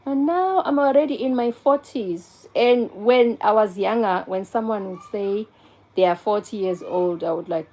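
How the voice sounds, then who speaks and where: A woman's voice: medium pitch, a little slow, mellow, rough recording. A South African woman in her forties chatting casually on a live stream, answering viewers and taking a call in between. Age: 40s. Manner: chatty, answers viewers and phone calls, switches between English and Zulu.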